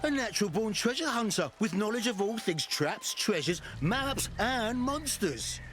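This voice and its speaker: An actor playing a cocky, fast-talking male treasure hunter in a video game, boastful and cheeky like a salesman. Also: high-pitched, animated, a bit bright, slight background noise. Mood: hopeful, cheeky. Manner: boastful, wheedling salesman patter. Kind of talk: video game character acting.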